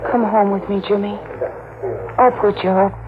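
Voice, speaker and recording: A woman's voice: medium pitch, steady tone, moderate pace, heavy background noise, lightly acted. An actress playing a kind young woman who looks after a worn-out stranger, in 1940s radio drama style on an old tinny recording. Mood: concerned.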